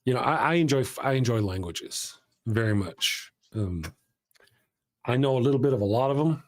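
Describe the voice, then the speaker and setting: A man of middle pitch hosts a live stream in a loose, rambling way. He is chatty and quick to make fun of himself. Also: expressive. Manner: chatty, rambling and self-deprecating. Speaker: host of a live show. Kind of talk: casual live-stream chat.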